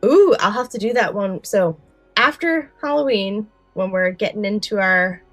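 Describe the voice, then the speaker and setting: A woman's voice: medium pitch, expressive, moderate pace, dry recording, faint music behind. A woman co-hosting a podcast, moving between casual chat and prepared summaries. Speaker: podcast co-host.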